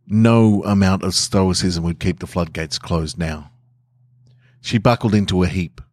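Deep voiced guy doing a podcast, reading little stories, really dry close recording. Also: dry recording. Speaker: podcast host and story reader. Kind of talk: casual podcast intro and narrated short-story reading.

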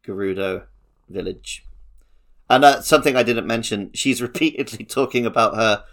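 A man's voice: lowish voice, moderate pace, fairly bright tone. A man co-hosting a casual video game podcast, chatting informally. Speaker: podcast co-host.

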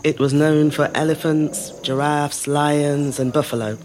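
Low-voiced, reflective woman telling her story. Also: fairly even intonation, darkish tone, a little hiss or hum. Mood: tender, reflective. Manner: measured, lyrical, deliberate phrasing. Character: reflective middle-aged Zimbabwean-born woman telling her family's story. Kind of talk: scripted first-person storytelling monologue. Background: music.

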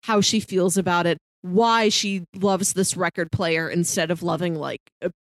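A woman's voice: fairly low pitch, fairly even intonation, normal speed, no room sound. A woman podcast co-host in a casual film discussion, chatty and musing aloud. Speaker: podcast co-host reviewing films.

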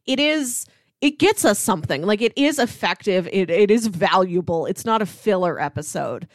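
Female podcast co-host, mid-range pitch, informal review talk, very dry studio-like sound. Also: expressive, moderate pace, no room sound. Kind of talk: casual TV review discussion.

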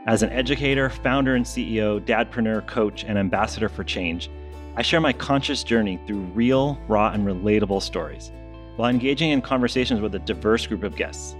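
A man hosting a podcast, reading a measured scripted introduction. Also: fairly low pitch. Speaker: podcast host. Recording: intro with soft guitar music.

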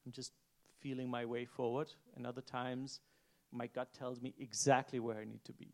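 A man with a gravelly, creaky voice speaks on an event stage. He is earnest and halting, restarting as he searches for words. His pitch is mid-range. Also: little pitch movement. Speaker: head chef on a panel. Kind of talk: reflective panel talk. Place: event stage before an audience.

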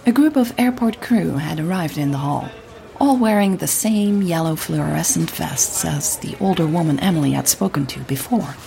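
A woman narrating a scripted audio drama in a measured, understated, wry storytelling manner. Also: low voice, expressive, gravelly, dark tone.

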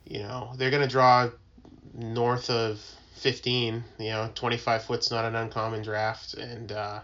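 A man talking casually as a guest, explaining things informally and haltingly as he thinks aloud. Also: mid-range voice, little pitch movement, fairly bright tone, dull audio. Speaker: tugboat mariner, podcast guest.

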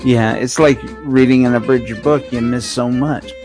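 A man's voice: medium pitch, fairly even intonation, normal speed. A man hosting a casual, conversational radio show. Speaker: host. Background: guitar music.

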